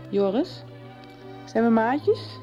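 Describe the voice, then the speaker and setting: A woman's voice: fairly high pitch, very animated, very slow, mellow, dull audio, soft background music, full character voice. An actress playing a candid, affectionate young woman in hospital, in a Dutch radio soap. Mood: tender, teasing.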